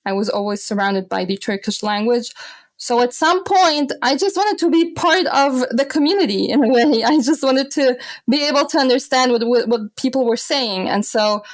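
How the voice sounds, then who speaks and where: A woman in her twenties who grew up in Austria, telling stories as a podcast guest, animated and often laughing. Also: sits high, moderate pace, no echo. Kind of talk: casual podcast interview.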